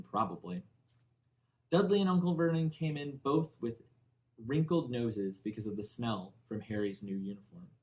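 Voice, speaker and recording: A man reading a children's novel aloud in expressive, deliberately phrased storybook narration. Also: mid-range voice, low-quality recording. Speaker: audiobook narrator.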